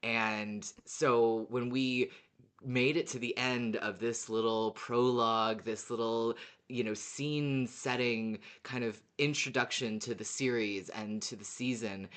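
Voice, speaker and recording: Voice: mid-range voice, steady tone, slow, fairly bright tone. A podcast co-host talking casually in a fan discussion.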